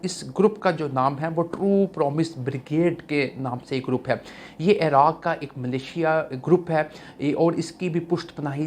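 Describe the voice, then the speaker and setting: A man's voice: on the high side, a little mellow. A man with a Pakistani accent hosting news commentary, explaining things to viewers in a repetitive way. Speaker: news commentary channel host. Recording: clear background noise, ambient sound.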